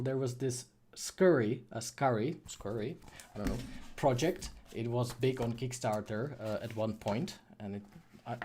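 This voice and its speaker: A man's voice: medium pitch, warm tone, slight background noise. Czech-accented man chatting informally. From Czech Republic. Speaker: livestream host, freelance 3D artist and course creator. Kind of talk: casual livestream chat answering viewer questions.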